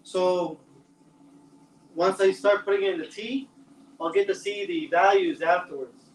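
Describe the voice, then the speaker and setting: A man with a notably high voice explains a home test step by step in a relaxed way during a live stream. The room is echoey, and he sounds some distance away from the mic. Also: unhurried, slight background noise. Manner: laid-back, explains step by step. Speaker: co-host demonstrating a test. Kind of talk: casual explanatory demo.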